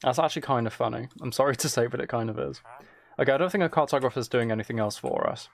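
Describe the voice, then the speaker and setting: Male game streamer, gravelly medium-pitched voice, low-key casual chat, close mic with keyboard clicks. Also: wildly swooping pitch, fast talker. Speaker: streamer playing a game while chatting with viewers.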